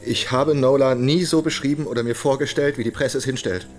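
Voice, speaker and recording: Actor as an earnest, mid-pitched novelist. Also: male voice, fairly even intonation, brisk, close to an everyday voice. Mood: defensive. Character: earnest, defensive young male novelist. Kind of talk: radio drama acting.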